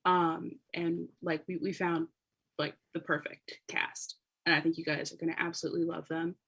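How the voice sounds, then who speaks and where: A woman's voice: lowish voice, level tone, moderate pace, gravelly, a bit bright, slightly rough. A woman writer co-hosting a live show with a casual, gushing, informal introduction. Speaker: writer and co-host.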